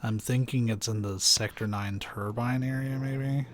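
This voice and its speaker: A young man with a soft, soothing voice, chatting casually and rambling while he plays a video game on stream. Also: medium pitch, a little slow. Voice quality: soft, soothing, sleepy-sounding voice. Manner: rambling, laid-back, many "like"s and "uh"s. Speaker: let's play streamer. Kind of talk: casual commentary while gaming. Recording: steady background noise hiss.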